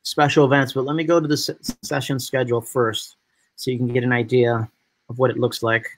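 A man from upstate New York hosting a casual live video chat with guests, talking in a chatty, animated way. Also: medium pitch, a little fast, a little hiss or hum. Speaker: host.

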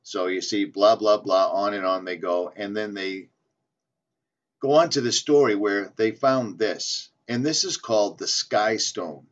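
A man's voice: lowish voice, a little slow. Insistent man rambling, lo-fi audio. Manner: insistent, repetitive, reading aloud with stumbles. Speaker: flat earth video maker. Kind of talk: casual rambling monologue. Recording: dull lo-fi audio.

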